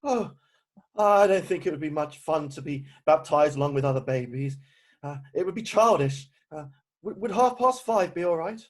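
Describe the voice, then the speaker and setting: Casting: exasperated Victorian gentleman played by a man, flustered and hesitant, slightly high voice, amateur stage reading. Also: expressive, normal speed, close to an everyday voice. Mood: sheepish, polite. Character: exasperated, indignant Victorian gentleman, guardian of a young woman. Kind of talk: amateur play read-through.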